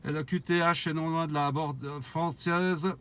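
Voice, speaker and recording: A man's voice: on the high side, fairly even intonation, measured pace, fairly bright tone, clean tone. A man talking as a mobile radio operator, cordially trading call signs and signal reports.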